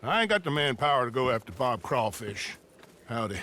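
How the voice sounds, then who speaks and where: Casting: reluctant American lawman, mid-range voice, apologetic and animated, Old West game cutscene. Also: medium pitch, fairly quick, a little hiss or hum. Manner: apologetic, folksy, defensive. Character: reluctant, put-upon small-town Old West sheriff. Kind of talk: dramatic game cutscene acting.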